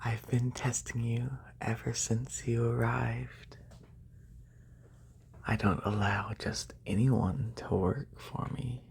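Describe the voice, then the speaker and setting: A man playing a haughty, wealthy lamia noble in a soft, breathy ASMR whisper, slow and deliberate, close to a binaural microphone. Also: mid-range voice, flat delivery, exaggerated voice. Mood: composed, condescending.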